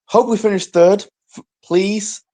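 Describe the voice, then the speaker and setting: A man's voice: high-pitched, fairly even intonation, measured pace, warm tone. A man calling in to a live show, laid-back and rambling, with lots of fillers. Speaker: caller. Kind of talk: casual chat.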